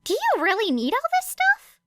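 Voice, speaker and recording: Woman voicing a very high, bubbly teenage schoolgirl. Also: huge pitch swings, moderate pace, noisy background, exaggerated voice. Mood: surprised, puzzled. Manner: gushing, casual, eager. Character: bubbly, excitable teenage schoolgirl hero student.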